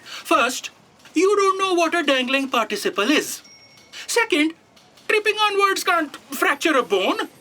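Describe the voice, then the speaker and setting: A woman's voice: extremely high pitch, very animated, unhurried, sharp tone, heavily acted. A woman playing a snippy, pedantic copy editor's aide who corrects people condescendingly in a comedy audio drama. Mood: scornful.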